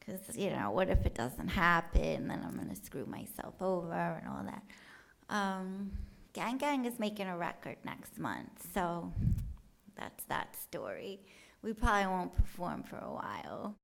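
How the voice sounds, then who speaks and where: A woman's voice: fairly low pitch, sing-song, unhurried, gravelly. A woman artist giving a casual, unscripted talk to an audience, rambling and joking in an offhand, colloquial way. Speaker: artist giving a talk about their work. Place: live talk in front of an audience.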